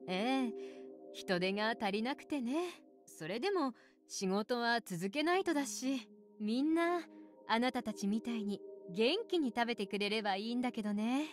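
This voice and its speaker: A woman playing a cheerful, gentle working mother who clerks at a shop in an anime visual novel. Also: on the high side, expressive, moderate pace, soft background music, natural voice. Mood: friendly.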